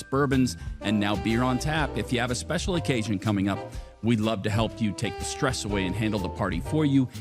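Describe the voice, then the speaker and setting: A man co-hosting a podcast, thanking the guest and reading sponsor messages in an upbeat promotional way. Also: medium pitch. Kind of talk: sponsor reads and outro. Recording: music playing underneath. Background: music.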